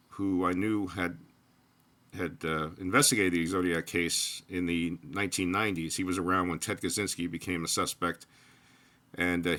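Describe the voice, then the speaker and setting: An older man talking alone about his research in a loose, rambling monologue, with frequent restarts and pauses. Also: low-pitched, pushed and bright. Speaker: true crime researcher. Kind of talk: solo spoken monologue.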